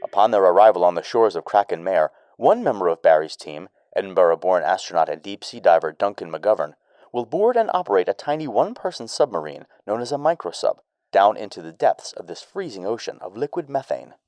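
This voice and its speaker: Male narrator, very deep, varied pitch, dry sound. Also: big pitch swings, fairly quick, darkish tone, dry recording. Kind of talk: scripted narration.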